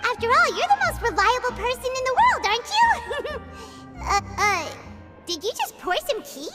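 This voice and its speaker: A woman voicing a tiny, excitable, childlike fairy companion in a video game, squeaky and chatty. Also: normal speed. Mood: curious, startled. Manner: third-person, exclaiming, chatty. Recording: loud music behind the voice.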